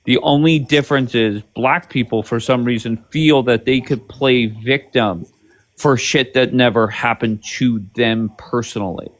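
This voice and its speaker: A man's voice: mid-range voice, unhurried. A man hosts a heated live-stream debate, talking bluntly and swearing freely. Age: 50s. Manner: blunt, profane, pushy.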